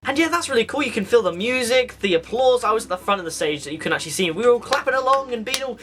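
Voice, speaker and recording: A man's voice: very high voice, lively intonation, rapid-fire, music in the background. A teenage young man, chatting casually and enthusiastically as he tells anecdotes for a vlog. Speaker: vlogger.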